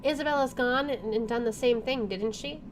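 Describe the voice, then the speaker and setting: Higher-pitched woman reading a game aloud. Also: moderate pace, music in the background. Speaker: gaming video host. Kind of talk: reading visual novel narration aloud with casual reactions. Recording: faint background game ambience.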